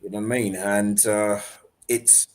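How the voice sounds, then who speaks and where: A man chatting casually on a football podcast, relaxed and hesitant with fillers. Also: fairly low pitch, a little slow. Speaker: podcast co-host.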